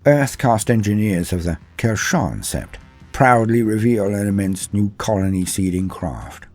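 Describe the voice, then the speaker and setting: A man reading a scripted lore history in a measured, steady storytelling delivery. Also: low-pitched. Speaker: lore narrator. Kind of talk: scripted narration.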